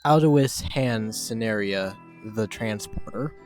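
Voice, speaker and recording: A man's voice: on the low side, takes it slowly. Male narrator over background music. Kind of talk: scripted narration of a dramatic reading. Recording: music behind voice.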